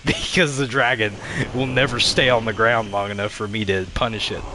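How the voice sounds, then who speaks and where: A man with a stuffy, congested voice, livestreaming a video game with casual, halting commentary and replies to chat. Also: medium pitch, background noise. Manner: halting, reading and answering chat aloud. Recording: game music behind the voice. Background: video game music.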